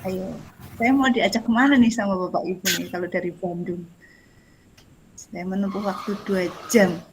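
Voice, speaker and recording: A woman's voice: mid-range voice, moderate pace, slight background noise, low-quality recording. A woman facilitating an online class in a casual, jokey, giggly and self-deprecating way. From Indonesia. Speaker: facilitator of an online teacher training forum. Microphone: video call.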